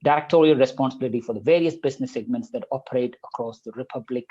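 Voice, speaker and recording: A man's voice: mid-range voice, racing, mellow, breathy edge, highs cut off. A man hosting a podcast, reading a long guest introduction with light jokes and a few stumbles. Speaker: podcast host. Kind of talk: podcast host introduction and interview.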